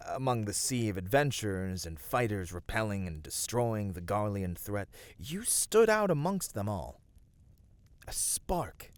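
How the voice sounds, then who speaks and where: Gentle, noble male fantasy hero, played by a man, fairly low voice, soothing and earnest, intimate ASMR roleplay, close dry sound. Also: no room sound. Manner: soothing, earnest, formal archaic phrasing. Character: gentle, noble, reassuring male fantasy adventurer comforting a weary friend.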